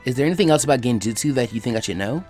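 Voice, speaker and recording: A man voicing a sharp, eager young girl ninja student with an inquisitive manner, in a story reading. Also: mid-range voice, expressive, rapid-fire, close to an everyday voice. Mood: eager, curious. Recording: faint music behind the voice.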